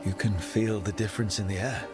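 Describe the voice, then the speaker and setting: An actor playing an earnest young adventurer asking polite, curious questions. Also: male voice, fairly low pitch, fairly even intonation, a little fast, lightly acted. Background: music.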